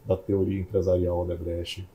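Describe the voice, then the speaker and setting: A man's voice: low-pitched, completely flat delivery, moderate pace, dull audio, slight background noise. A man co-hosting an interview, speaking in an effusive, emphatic way. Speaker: co-host. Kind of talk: casual interview chat.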